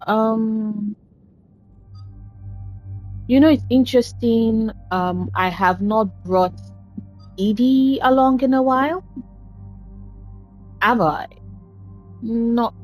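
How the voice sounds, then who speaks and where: A woman casually commentating her own game playthrough, chatty and self-deprecating, speaking low and soft because talking hurts right now. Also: takes it slowly, pauses often, soft background music, low-quality recording. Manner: chatty, self-deprecating, swears at setbacks in play. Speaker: gaming channel host. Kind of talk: casual let's-play commentary.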